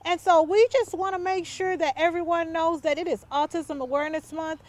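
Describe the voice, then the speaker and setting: A woman's voice: extremely high pitch, fairly even intonation, moderate pace, a little mellow. A middle-aged woman, a mother talking casually with her family and to the camera.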